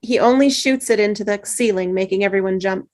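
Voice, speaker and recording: A woman reading the stage directions at a script table read, steady with small slips. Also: medium pitch, fairly quick.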